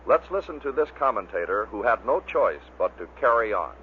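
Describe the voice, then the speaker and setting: A man's voice: on the low side, sing-song, brisk. An American man reading scripted narration that introduces a series of clips. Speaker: narrator of a bloopers record. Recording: tinny band-limited vintage record, faint noise.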